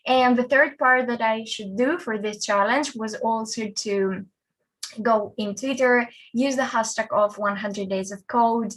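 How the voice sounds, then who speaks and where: A woman's voice: mid-range voice, poor audio. A young woman from Greece, a front-end developer, presents a conference talk in a friendly, conversational way with fillers and restarts.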